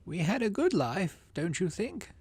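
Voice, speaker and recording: A man voicing a serene, ancient future self in a story game, speaking gently and reflectively. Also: sits high, wildly swooping pitch, a little slow, slightly rough, no room sound, pitched above the performer's own voice, exaggerated voice. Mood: serene, wistful.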